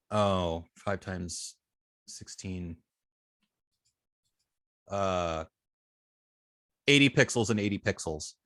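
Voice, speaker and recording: A man teaching informally as an instructor, thinking aloud with halting, repeated and restarted phrases. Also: lowish voice, fairly bright tone, no echo, studio quality. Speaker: web design instructor.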